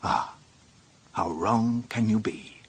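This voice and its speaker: An actor playing a wry British police inspector who narrates a radio drama in a dry, reflective way. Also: male voice, lowish voice, takes it slowly, slightly rough, heavily acted. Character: wry male police inspector narrating his own case.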